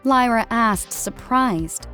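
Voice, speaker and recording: Seorang wanita membacakan narasi cerita fiksi sebagai narator buku audio. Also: female voice, a little high, expressive, takes it slowly, fairly bright tone, faint music behind. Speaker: audiobook narrator. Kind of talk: scripted fiction narration.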